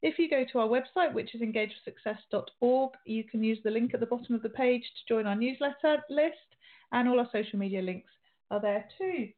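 A woman's voice: on the high side, fairly quick. Chatty woman host on a tinny line. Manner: chatty, with frequent restarts and fillers. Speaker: radio show host. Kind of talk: hosting an interview show. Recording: tinny phone-like sound.

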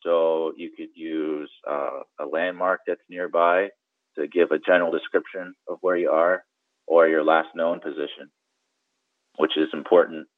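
A man's voice: very deep voice, level tone, unhurried, no echo, narrow, tinny audio. A man giving conversational, step-by-step instruction as an expert guest, often checking in with the listener. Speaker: guest boating radio expert.

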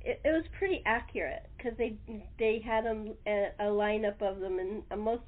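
An American woman from California hosting a casual interview chat, halting and often repeating her words. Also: medium pitch, fairly even intonation. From California, United States. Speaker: host. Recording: narrow phone-like call audio.

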